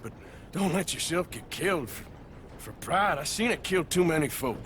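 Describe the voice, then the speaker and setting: Casting: gruff, weary American outlaw, lively mid-range delivery, drawling and blunt, over clear background noise. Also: male voice, on the high side, normal speed. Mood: insistent, remorseful. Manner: blunt, drawling, plain-spoken. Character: weary, gruff outlaw man, guilt-ridden but protective. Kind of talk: cinematic video game acting.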